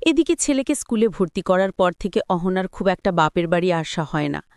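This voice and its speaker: A woman's voice: on the low side, sing-song, a little fast, a little mellow, clean tone, no echo, studio quality. A woman storyteller reading a dramatised audio story as scripted narration.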